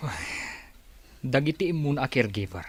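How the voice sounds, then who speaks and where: A man's voice: medium pitch, lively intonation, brisk, slightly rough, no room sound. An actor playing a shifty, defensive and boastful male accomplice in a radio drama.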